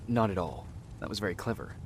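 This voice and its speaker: A young male soldier in a war game, calm and sparing with words. His lines come quickly, from a little way off the mic, with some noise behind. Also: deep voice. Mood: calm, reserved. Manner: reserved, terse. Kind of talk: dramatic video game acting. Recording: distant voice, background noise.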